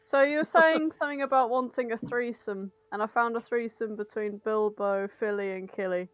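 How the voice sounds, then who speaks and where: Higher-voiced woman, teasing in casual chat. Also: normal speed, smooth. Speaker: podcast co-host.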